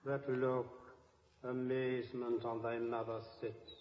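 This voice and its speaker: Slow, echoing royal ghost. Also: male voice, mid-range voice, little pitch movement, noisy background. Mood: solemn, urging. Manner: slow, solemn intoning. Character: solemn ghost of a dead king, father. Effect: long ghostly reverb. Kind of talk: classical Shakespearean 1950s radio acting. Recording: cavernous echo, muffled 1950s radio recording.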